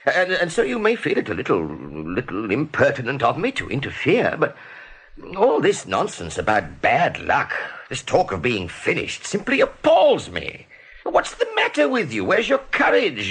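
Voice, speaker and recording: An actor playing a weary, dignified older rabbit officer in a radio play, formal and earnest, with some stammering. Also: male voice, on the high side, wildly swooping pitch, moderate pace, a bit bright, breathy edge, full character voice. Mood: indignant.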